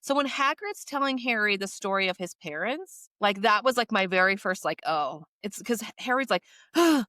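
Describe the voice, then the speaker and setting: A woman's voice: medium pitch, lively intonation, moderate pace, pushed and bright, dry recording, clean studio sound. A woman co-hosting a podcast in casual conversation, acting out her reactions as quotes as she talks. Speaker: podcast co-host. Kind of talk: casual chat discussing books and audiobooks.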